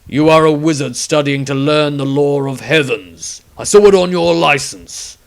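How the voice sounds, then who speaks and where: A menacing fantasy witch hunter, acted out by a male narrator, spiteful and full of zeal. He projects loudly and lifts his pitch as he accuses and commands, like a scarred fanatic from an audiobook. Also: normal speed, gravelly. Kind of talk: fantasy audiobook character acting.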